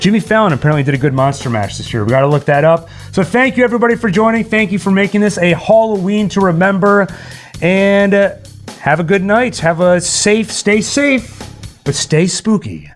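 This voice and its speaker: A man with a high-pitched voice hosts a livestream in a casual, chatty style. He rambles in a joking way. Faint music plays behind him as he talks. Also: expressive, a little fast. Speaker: livestream host. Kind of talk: casual livestream chat and sign-off. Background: Halloween novelty songs.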